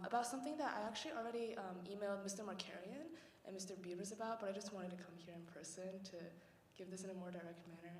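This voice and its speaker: A teenage girl speaking at a school board meeting during public comment, earnest and polite with frequent ums. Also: mid-range voice. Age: teens. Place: large meeting room. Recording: echoey hall, faint room noise.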